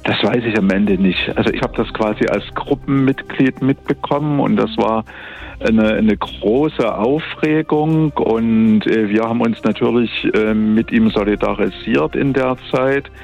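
A man's voice: lowish voice, a little slow, gravelly, a little mellow, soft background music. A man in his fifties from Dresden, a guest speaking by phone in an interview, reflective and hesitant, often restarting his sentences. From Dresden, Germany. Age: 50s. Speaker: interview guest, academy director. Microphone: telephone line. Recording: muffled phone line.

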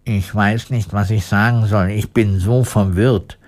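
A man's voice: lowish voice, fairly even intonation, a little mellow, close to an everyday voice. A man voicing a kindly, humble iron woodcutter with an earnest, courteous manner for a storybook. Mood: bashful. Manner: earnest, modest, deliberate.

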